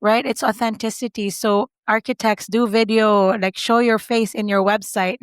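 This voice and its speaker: A woman's voice: medium pitch, steady tone, moderate pace, clean tone, dry recording, studio quality. A woman with a non-native English accent hosting a podcast interview, who talks in long run-on sentences and keeps checking for agreement. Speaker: podcast host. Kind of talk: conversational interview.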